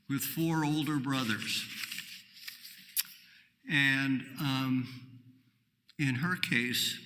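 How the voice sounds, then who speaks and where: Older man from New York, congregant reflection, slow and halting, bright voice, long sanctuary reverb. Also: medium pitch. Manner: halting, measured, with many "um"s. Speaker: congregant giving a reflection at worship. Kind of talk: personal reflection read at a service. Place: synagogue sanctuary.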